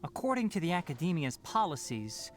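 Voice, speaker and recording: A man voicing a calm, clever young researcher in a video game, firm but considerate, articulate and matter-of-fact. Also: a little high, brisk, no echo, soft background music. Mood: businesslike.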